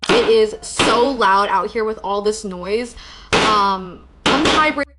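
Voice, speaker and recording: A woman's voice: mid-range voice, takes it slowly. A woman chatting casually, vlog style. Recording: clip with faint background noise.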